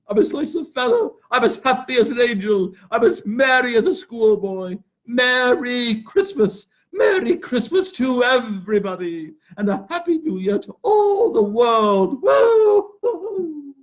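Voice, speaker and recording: A high, swooping old miser's voice, cackling and breathless, bursting with joy, as a man acts him out in a radio-style reading. His pitch leaps all over the place. The dull, lo-fi sound strips away the crispness. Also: sing-song, a little slow, full character voice. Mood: jubilant. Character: elderly male miser, giddy with joy. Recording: dull lo-fi recording.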